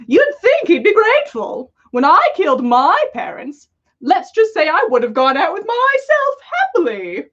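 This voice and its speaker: A woman voicing a vain, whiny male dark lord in a haughty, self-pitying, theatrical way for a fan fiction story. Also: very high voice, huge pitch swings, moderate pace, muffled recording, pitched above the performer's own voice, full character voice. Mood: dramatic self-pity.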